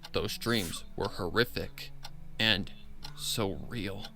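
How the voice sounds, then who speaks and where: A man's voice: lowish voice, slow pace, pushed and bright, soft background music. A man giving a dramatic narration of a horror story. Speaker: audiobook narrator.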